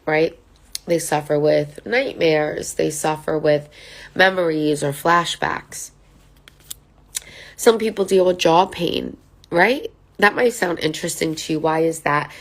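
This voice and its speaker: A woman's voice: low-pitched, vocal fry. A woman who counsels on mental health, talking casually to camera from notes. She sounds nasal from a sinus infection and often checks in with "right?" and "okay?". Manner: conversational, many fillers and tag questions. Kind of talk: informal talk to camera from notes.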